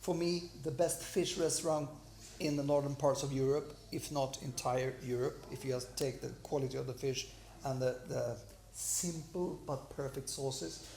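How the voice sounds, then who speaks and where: A man hosting a champagne interview over lunch in a restaurant, relaxed and agreeable, with many "yeah"s. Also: mid-range voice, normal speed, gravelly, a little hiss or hum. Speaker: host, champagne club organizer. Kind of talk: casual interview chat.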